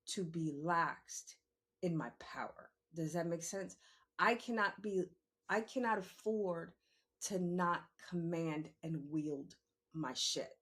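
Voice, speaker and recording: A woman, talking candidly and emphatically in a conversational monologue, repeating phrases for emphasis. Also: lowish voice. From New York City, born in the Bronx and raised in Queens. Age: 50s. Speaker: podcast host.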